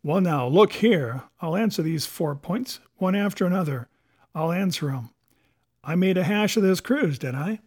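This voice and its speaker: Guy doing a sly old-time pirate captain for an audiobook, highish voice, sarcastic and defiant, salty sailor talk. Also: expressive, unhurried, darkish tone. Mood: indignant, vehement. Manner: sarcastic, rhetorical, rustic sailor's dialect. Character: cunning, defiant male pirate captain, sea cook. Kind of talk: dramatic audiobook character voice.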